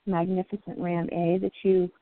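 A woman hosting a live indie music show, raspy from the flu, talking haltingly with many ums. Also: lowish voice, flat delivery, no echo. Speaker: radio show host. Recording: tinny phone-like line.

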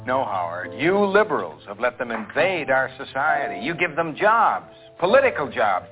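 A scornful, stubborn conservative man, played by an actor argues in a film drama with lively, jumping intonation. The recording is phone-like, with music clearly behind. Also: mid-range voice, normal speed, close to an everyday voice. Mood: scornful, indignant. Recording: phone-like film clip under loud music.

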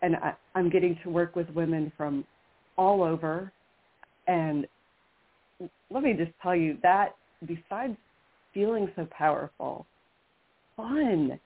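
A woman's voice: on the low side, takes it slowly, long pauses, husky. Upbeat American woman on a phone-like line. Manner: enthusiastic, frequent restarts. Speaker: guest. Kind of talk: casual radio chat.

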